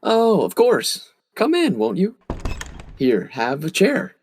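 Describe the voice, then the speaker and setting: An actor playing a calm, menacing vigilante with a suave, formal manner, in old-time radio drama style. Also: male voice, high voice, slow, a little hiss or hum, full character voice. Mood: polite, cool. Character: calm, menacing male crime-fighting vigilante, outwardly suave gentleman.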